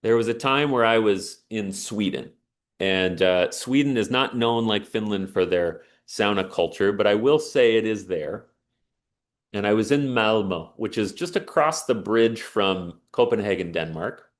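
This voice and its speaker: A man's voice: on the low side, animated, measured pace. A man giving an earnest, emphatic inspirational talk and telling a personal story. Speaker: speaker on sauna and resilience.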